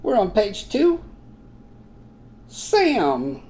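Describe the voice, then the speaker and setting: A woman's voice: mid-range voice, huge pitch swings, very slow, mellow, slight background noise, full character voice. A woman voicing a cheerful puppet who leads a read-along for young children in an exaggerated, encouraging sing-song. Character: Sebastian, a cheerful puppet reading to kids. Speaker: children's reading teacher.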